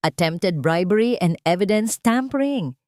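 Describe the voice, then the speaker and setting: A woman's voice: mid-range voice, lively intonation, a little slow, darkish tone, no room sound, clean studio sound, close to an everyday voice. A woman voicing an aggressive, commanding male police raid leader in an audio story. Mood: aggressive, triumphant.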